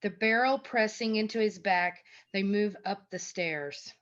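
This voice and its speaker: A woman's voice: mid-range voice, unhurried, fairly bright tone, highs cut off. A woman reading out stage directions as the narrator of a screenplay table read over a video call. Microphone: video call microphone.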